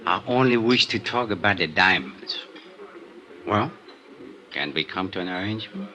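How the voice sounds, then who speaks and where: Oily French-accented crook, mock-courteous, evasive, sharp bright voice, swinging pitch, old radio drama. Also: male voice, expressive, normal speed, lo-fi sound. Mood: wily bargaining. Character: oily, evasive French-speaking Casbah smuggler and killer. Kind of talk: 1950s radio drama acting.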